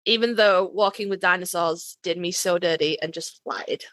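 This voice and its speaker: A woman's voice: lowish voice, normal speed, a bit bright, no echo. A woman bantering casually as a podcast co-host, emphatic, geeky and enthusiastic.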